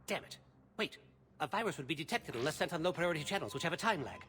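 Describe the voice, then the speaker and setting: Game alien operative: sharp-witted, urgent, fairly high and lively in pitch. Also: male voice, fast talker. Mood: alarmed. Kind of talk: video game acting.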